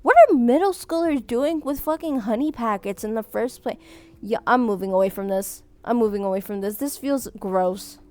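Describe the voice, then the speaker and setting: An animated young woman reads viewer submissions in a casual chat, her voice sitting a little high. Vietnamese was her first language. She laughs often, breaks off mid-sentence and starts over. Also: normal speed. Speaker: streamer reading viewer submissions.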